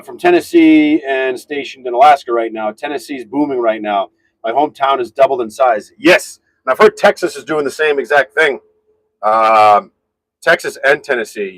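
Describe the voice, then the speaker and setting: A man hosting a casual live stream from his garage, rambling and answering viewers' comments in a very loud voice. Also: medium pitch, no room sound, rough recording. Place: home garage.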